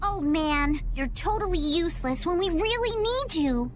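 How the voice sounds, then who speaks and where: High-voiced cheeky little girl. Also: lively intonation, moderate pace. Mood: pouting. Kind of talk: video game dub acting.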